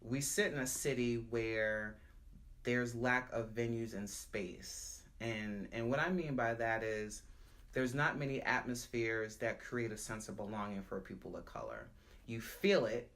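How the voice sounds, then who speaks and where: A woman who founded and directs an arts nonprofit, telling her story in an emphatic, expressive way in an interview. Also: a bass voice, a little slow. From United States.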